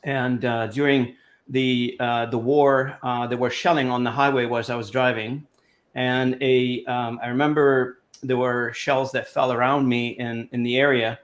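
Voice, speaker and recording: A man's voice: mid-range voice, flat delivery, a little slow. Middle-aged guy from lebanon, video call interview, lots of uhs and restarts, just chatting through his story. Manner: rambling, many fillers and restarts. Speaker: guest.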